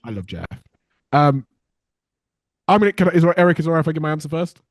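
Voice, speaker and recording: An excitable man co-hosting a podcast who talks quickly and gushes with enthusiasm. His voice sits slightly high for a man. The sound is dry and close. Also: no room sound. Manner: excitable, enthusiastic, says "like" often. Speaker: podcast co-host. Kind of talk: casual podcast chat.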